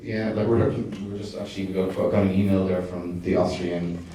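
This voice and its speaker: A man's voice: deep voice, steady tone, brisk, dark tone. A man, a documentary director, answers audience questions at a festival screening, hesitant and full of fillers and restarts. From Ireland. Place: screening hall. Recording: distant echoey hall, faint noise.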